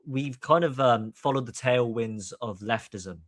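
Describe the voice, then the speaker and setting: A man's voice: medium pitch, unhurried, no echo, studio quality. A man from Hong Kong hosting a podcast, giving opinionated commentary in an emphatic, long-winded way. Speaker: podcast host.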